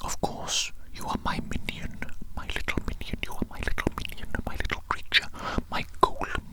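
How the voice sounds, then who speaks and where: A performer whispering as an evil mad doctor in an ASMR medical roleplay, fussy and controlling, softly repeating phrases to a little minion. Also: moderate pace, close to an everyday voice. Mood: sinister, doting. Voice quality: breathy unvoiced whisper.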